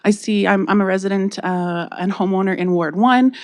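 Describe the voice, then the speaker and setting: A woman with a Canadian accent speaking softly and hesitantly into a podium microphone, giving public comment in a council chamber. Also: mid-range voice, moderate pace. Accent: Canadian English. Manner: soft-spoken, hesitant, earnest. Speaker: public delegate.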